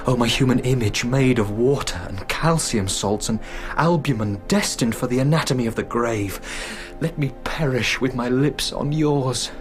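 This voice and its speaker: A man's voice: mid-range voice, normal speed, few pauses, faint music behind, natural voice. An actor playing an earnest, lovesick young man with an impassioned, poetic delivery in a literary radio drama. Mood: rapt, sensual.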